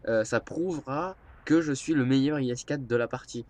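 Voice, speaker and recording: A man's voice: medium pitch, moderate pace, a little mellow. Stuffy-nosed man rambling over gameplay. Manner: rambling, hesitant, many filler sounds. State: stuffy nose from a cold. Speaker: gameplay commentator. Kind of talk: casual gameplay commentary.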